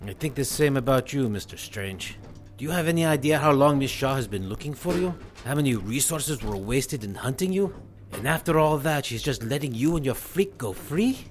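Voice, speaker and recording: Casting: male actor as villain's surly enforcer in audio drama, mid-pitched, curt, threatening and scornful. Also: animated, moderate pace, natural voice. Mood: resentful. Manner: stern, menacing.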